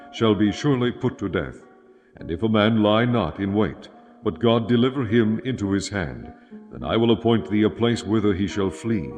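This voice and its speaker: A man with a fairly low voice narrates scripture solemnly, in measured phrases. Music plays clearly behind his dramatic, scripted reading. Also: darkish tone. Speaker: audio Bible narrator.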